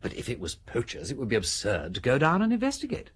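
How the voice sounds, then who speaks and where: An actor playing a witty aristocratic English amateur detective in a British radio drama, brisk and theatrical as he reasons aloud. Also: medium pitch, huge pitch swings, slight background noise. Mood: keen, argumentative. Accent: upper-class English.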